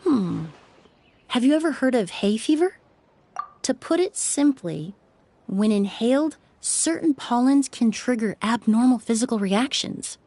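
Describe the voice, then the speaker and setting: A woman voicing a polite, composed young perfumer in a video game, explaining things in a measured, courteous way. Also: a little high, lively intonation, warm tone. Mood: calm explaining.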